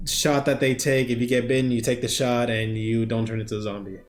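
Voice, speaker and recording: A man's voice: mid-range voice, flat delivery, fairly quick. A man co-hosting a movie review, casually recapping the plot in informal, conversational banter. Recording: roomy echo, faint music behind.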